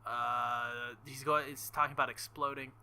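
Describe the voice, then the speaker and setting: A man reading a visual novel aloud with casual commentary, halting and embarrassed, often breaking into nervous laughter. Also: mid-range voice, flat delivery, bright, forceful tone, clean tone. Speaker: let's play host.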